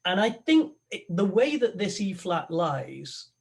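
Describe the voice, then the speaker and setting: A man, an opera tenor, talking as a guest on a video call, halting and thoughtful with many restarts. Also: high voice, very animated, gravelly. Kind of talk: conversational interview over a video call.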